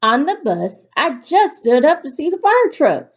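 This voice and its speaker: A woman teacher reading aloud to students in an expressive, instructive storytelling delivery. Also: high-pitched, unhurried. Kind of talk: picture-book read-aloud for a virtual class. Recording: dull, lo-fi recording.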